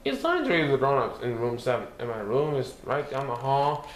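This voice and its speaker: A man reading a picture book aloud as a storyteller, expressive and sing-song, playing with repeated words. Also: mid-range voice, brisk. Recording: roomy echo with faint background noise.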